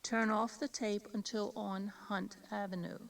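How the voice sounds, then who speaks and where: Female guide voice with noticeable fry, measured instructional read, slightly distant on an eighties cassette. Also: mid-range voice, flat delivery, soft background music. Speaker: tour guide giving driving directions. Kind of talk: scripted instructional narration. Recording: 1980s cassette tape, slightly distant mic.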